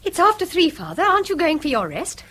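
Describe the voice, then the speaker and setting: An actress playing a patient, weary housewife in a radio drama, speaking with restraint. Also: female voice, very high voice, huge pitch swings, fast, a bit bright, exaggerated voice. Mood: weary, restrained. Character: patient, weary British daughter-in-law managing a household. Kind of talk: British radio drama acting.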